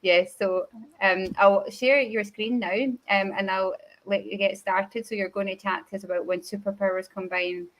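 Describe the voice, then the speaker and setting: A woman's voice: mid-range voice, steady tone, normal speed, rough recording. A woman with a Glaswegian accent hosting an online developer meetup, upbeat and talkative, with many ums and restarts. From Glasgow, Scotland. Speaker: meetup host. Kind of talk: livestream host introduction.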